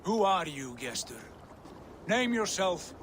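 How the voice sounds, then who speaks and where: An actor playing a proud, bold Viking prince in a video game, with a grand, declamatory delivery. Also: male voice, high-pitched, big pitch swings, slow pace, fairly bright tone, heavily acted. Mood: challenging. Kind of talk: video game cutscene acting. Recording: clear water and outdoor ambience noise.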